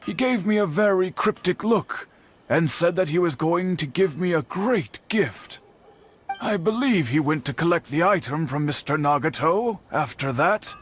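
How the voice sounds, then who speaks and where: An actor playing an earnest young swordsman in a video game, speaking in a composed, formal and measured way. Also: on the high side. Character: earnest young swordsman worried for a missing friend. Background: game music.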